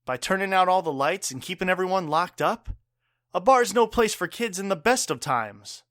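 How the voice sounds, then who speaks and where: A man's voice: high-pitched, animated, moderate pace, fairly bright tone, no room sound. Man playing an earnest bartender dad, dry close sound. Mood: worried. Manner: earnest, wry, plain-spoken. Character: gruff, caring bartender father and former army medic. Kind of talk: audio drama acting.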